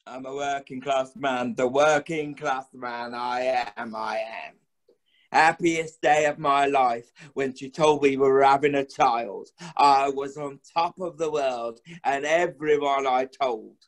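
An actor playing a weary, downtrodden working-class father, proud and bitter with grief, in a measured theatrical verse monologue. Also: medium pitch, fairly even intonation, lightly acted. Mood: tender, wistful. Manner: slow, measured, theatrical delivery with refrains.

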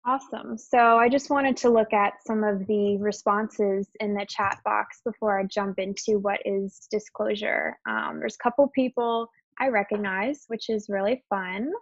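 A woman's voice: mid-range voice, fairly even intonation, unhurried, few pauses, dry recording. A young adult woman who stutters at times, presenting slides in a webinar in an open, conversational way. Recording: dull, lo-fi webinar audio.

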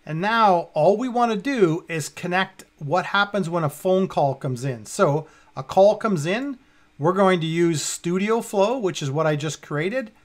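A man in his fifties with a fairly high voice presents a software walkthrough. He speaks casually, talking himself through each step as he works on screen. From Canada. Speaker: tutorial presenter. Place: indoors, at a computer.

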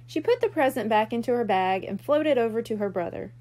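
High, animated woman reading a storybook. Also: brisk. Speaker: storybook reader. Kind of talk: expressive children's story narration.

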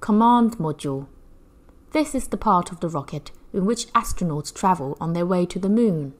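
A woman reading a picture book aloud, in an animated, measured storytelling manner for young children. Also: fairly low pitch.

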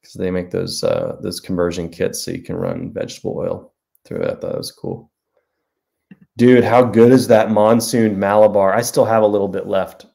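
A man's voice: low-pitched, fairly even intonation, moderate pace, vocal fry, mellow. A man in his forties, an author hosting a casual livestream from a small room at home, talking to a webcam and answering viewer comments in a rambling, self-deprecating way. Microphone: webcam at desk.

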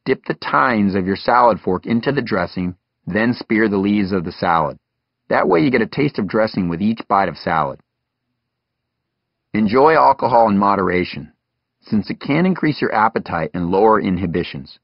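A man's voice: fairly low pitch, expressive, normal speed, no echo, highs cut off. A man reading a scripted instructional audiobook as its author, giving direct, advisory guidance. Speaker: doctor and author reading his own audiobook.

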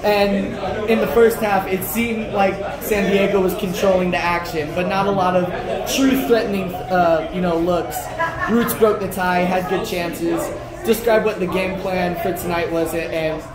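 A man's voice: high-pitched, steady tone, a little slow. A man interviewing a coach after a match, asking long, wandering questions with fillers. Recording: echoey hallway, crowd noise behind.

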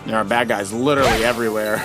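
A man's voice: fairly low pitch, very fast. A man giving casual, coaching commentary on a video game as someone else plays. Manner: animated, coaching, addresses the player as buddy. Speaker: co-host of a family video game Let's Play. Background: video game music.

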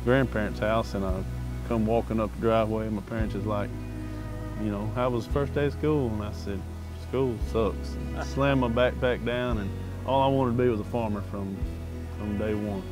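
A low-voiced Southern man chatting folksily in a farm profile video, with music playing underneath. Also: poor audio. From Arkansas, United States. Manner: folksy, plainspoken. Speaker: farmer. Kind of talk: casual interview storytelling. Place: outdoors in an open pasture.

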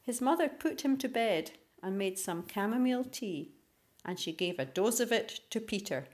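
A woman reading a children's story aloud as scripted narration, in an expressive storytelling manner. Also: mid-range voice, normal speed. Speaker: storybook reader.

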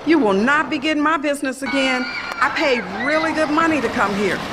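A woman's voice: high-pitched, a little fast, crowd noise, natural voice. A woman playing an indignant, protective young sister in an audio drama. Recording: very noisy amusement park ambience.

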